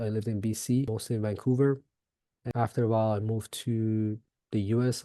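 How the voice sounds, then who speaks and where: A young man from Iran chats as a podcast guest, pausing often with fillers and restarts. His voice is mellow and fairly flat in tone. The recording is dry and close. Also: fairly low pitch, no echo. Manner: hesitant, many fillers and restarts. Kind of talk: casual interview chat.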